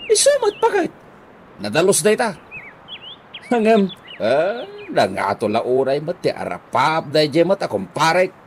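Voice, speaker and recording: A well-meaning pal played by a man with a highish, very lively voice. He talks haltingly and chattily in radio comedy style, with faint noise behind him. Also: full character voice. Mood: grumbling. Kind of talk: comedy radio drama acting in Ilocano.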